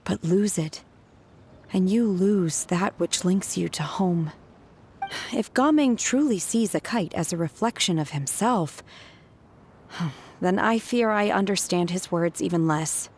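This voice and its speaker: A woman playing a solemn, reserved young woman in a video game, with a grave, earnest, measured delivery. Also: fairly low pitch, a little mellow, husky. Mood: wistful.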